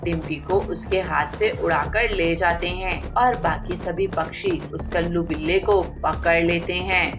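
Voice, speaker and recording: A woman's voice: lowish voice, a little slow, few pauses. A woman narrating a scripted children's story as a storyteller. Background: music.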